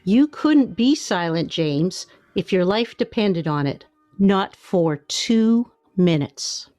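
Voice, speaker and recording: An actress playing an exasperated but plain-spoken wife in a comedic radio play, with weary, matter-of-fact retorts. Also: female voice, medium pitch, expressive, slow, darkish tone, dry recording. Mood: wry.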